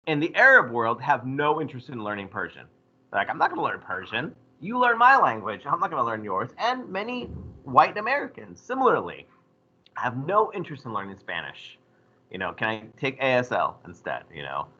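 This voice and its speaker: A man teaching a history class, lecturing in a lively way and putting questions to his students. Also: medium pitch, muffled recording. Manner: animated, asks the class questions.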